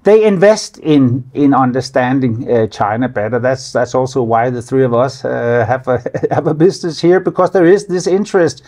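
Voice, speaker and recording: A Danish man in his fifties, a native Danish speaker with an accent, answering interview questions in a measured, halting way, often repeating words as he thinks, seated in a studio with a lapel mic. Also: medium pitch, a little mellow. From Denmark. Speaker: business association director, guest. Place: studio with city-view windows.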